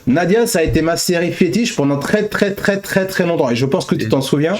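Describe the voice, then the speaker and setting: Casual, higher-pitched male anime show host. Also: lively intonation, a little fast, slight background noise. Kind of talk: casual chat, news presenting.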